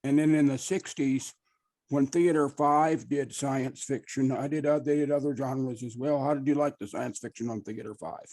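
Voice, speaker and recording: Mellow man asking informal questions. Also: medium pitch, steady tone, normal speed. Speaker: audience member asking questions. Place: online video meeting. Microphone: video call microphone.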